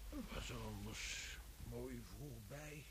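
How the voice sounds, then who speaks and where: A man's voice: medium pitch, slow, natural voice. A man acting in a radio play as an aging, chatty, stubborn and touchy labourer. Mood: chatty, musing. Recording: noisy old radio play recording.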